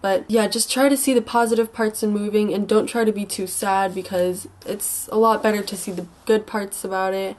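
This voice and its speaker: A young woman with an American accent talks in a congested, slightly sick voice at an average pitch. She gives casual advice like a vlogger. Her delivery is informal and rambling, with some hesitation. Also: steady tone, normal speed, hardly any pauses, darkish tone. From United States. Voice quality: stuffy, congested nose. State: mildly sick with a stuffy nose.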